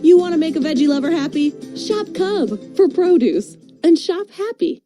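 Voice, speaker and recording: A woman's voice: extremely high pitch, animated, normal speed, music in the background. A woman doing an upbeat scripted commercial voice-over. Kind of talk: scripted upbeat grocery ad read.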